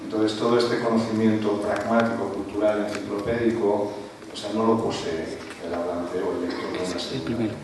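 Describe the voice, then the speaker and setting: A man's voice: fairly low pitch, level tone, a little fast, background noise. Man lecturing from far off in a roomy hall. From Spain. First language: not Spanish. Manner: lecturing, frequent rhetorical "¿no?" tags. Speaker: panelist. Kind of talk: academic talk to an audience. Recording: roomy hall, speaker away from mic, faint ambience.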